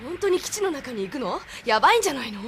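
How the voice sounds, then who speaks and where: An actress playing an excitable young female tagalong in a Japanese sci-fi audio drama. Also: high-pitched, fast, a little hiss or hum, full character voice. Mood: nervous curiosity.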